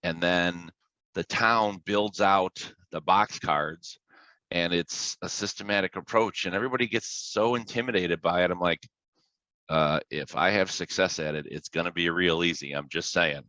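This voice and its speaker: A man's voice: low voice, fairly even intonation, normal speed, bright, forceful tone, no room sound, muffled recording. An American man talking casually as a podcast guest, explaining his dispatch work in an informal, plain-spoken way. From Illinois, United States. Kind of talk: casual explanatory interview talk with anecdotes.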